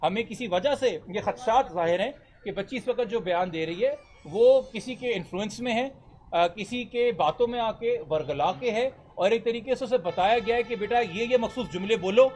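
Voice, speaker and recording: Casting: Pakistani male lawyer, high voice, giving a formal, emphatic press statement and fielding reporters' questions. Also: normal speed, darkish tone, slight background noise. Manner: articulate, formal, emphatic, mixing in English legal terms.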